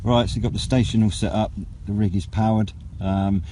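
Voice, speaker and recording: A low-pitched man talking casually to camera as he vlogs. His voice is dark and mellow, his phrasing hesitant and unscripted, with slight noise and radio hiss behind him. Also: fairly even intonation, brisk. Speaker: vlogger and CB radio operator. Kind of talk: casual vlog narration.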